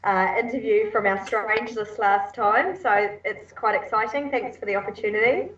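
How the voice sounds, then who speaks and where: A woman's voice: medium pitch, fairly bright tone. Friendly woman guest on a distant, roomy line. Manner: friendly, halting with fillers. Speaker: guest speaker. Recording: distant, echoey, dull line.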